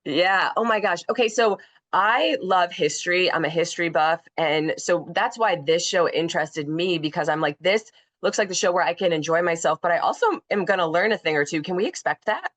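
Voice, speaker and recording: Hoarse, bubbly female interviewer, lower voice. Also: a little fast, a bit bright. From Wisconsin, USA. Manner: bubbly, enthusiastic, laughs often. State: hoarse, lost her voice from allergies. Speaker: TV host and interviewer. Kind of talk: casual celebrity interview.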